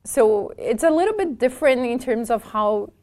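Woman, halting friendly speech. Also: on the high side, animated, warm tone. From southern Brazil. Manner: hesitant, many restarts, laughs easily. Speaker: interview guest, documentation developer. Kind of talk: casual interview chat.

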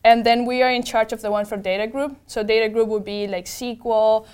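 A woman's voice: on the high side, fairly even intonation, a little fast, a little mellow, smooth. A woman with a Venezuelan accent, a native Spanish speaker, answers interview questions in an enthusiastic, informal way. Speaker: interview guest, tech program manager.

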